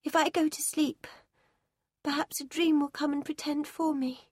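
Woman voicing a quiet, weary young girl. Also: high-pitched, steady tone, brisk, no echo, studio quality, pitched above the performer's own voice.

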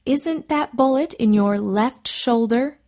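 A woman's voice: a little high, slow, darkish tone, voice pushed up. Lady reading as a no-nonsense medic woman, curt, chiding, audiobook character. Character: brisk, curt female medical practitioner, firm and scolding.

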